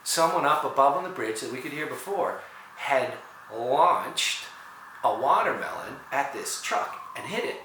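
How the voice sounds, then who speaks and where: A man's voice: medium pitch, expressive, a little slow, bright, forceful tone, echoey room, slight background noise, low-quality recording. A man from the USA, telling a personal story and acting out the voices he quotes. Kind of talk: personal story told to camera.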